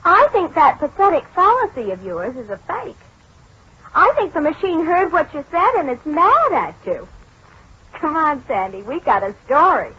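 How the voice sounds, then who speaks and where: An actress playing a pert, teasing young newspaper reporter with a brisk, challenging manner in a 1940s radio drama. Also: female voice, sits high, very animated. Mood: teasing, accusing. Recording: muffled 1940s radio recording.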